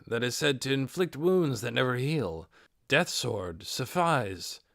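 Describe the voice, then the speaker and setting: A cheerful, proud male leader of a fantasy adventuring party, read by a man, eager to explain. His pitch is middling and moves around a lot, in a dry audiobook recording. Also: a bit bright, no room sound.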